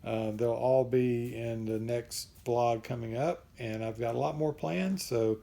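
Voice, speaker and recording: A man chatting casually in a vlog update, rambling a little with restarts and a voice that is going hoarse. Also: mid-range voice, a little slow, dark tone. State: losing his voice. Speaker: vlogger.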